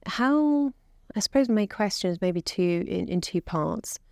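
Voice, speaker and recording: A woman interviewing a guest, putting her questions together deliberately, piece by piece. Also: medium pitch, lively intonation, creaky, a little mellow, dry recording, clean studio sound. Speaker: interviewer, military officer.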